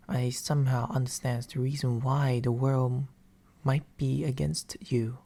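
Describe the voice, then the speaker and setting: A man playing a soft-spoken, teasing sleep paralysis demon in an ASMR roleplay, speaking breathily and often whispering, with slow, theatrical intimacy close to the mic. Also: medium pitch. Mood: gentle, coaxing. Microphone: close binaural microphone.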